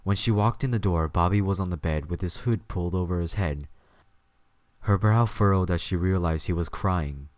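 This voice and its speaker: A man narrates a scripted story in a low-pitched voice. The recording is close and dry, with hardly any room sound. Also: moderate pace, darkish tone, dry recording.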